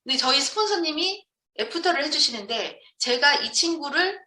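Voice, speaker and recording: A woman's voice: high voice, lively intonation, normal speed, sharp tone. A woman from Jeonju, South Korea, giving a motivational business training lecture to an audience, emphatically posing questions and answering them herself. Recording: echoey room, distant microphone.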